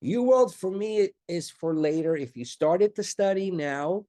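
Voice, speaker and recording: A middle-aged man with a stuffy, congested voice talks students through exam prep, chatty and reassuring, tossing out rhetorical questions as he goes. His voice sits a bit high for a man but sounds mellow and soft-edged. The recording is close and dry, with no room sound at all. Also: lively intonation, unhurried, no echo. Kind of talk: live online class, casual talk to students.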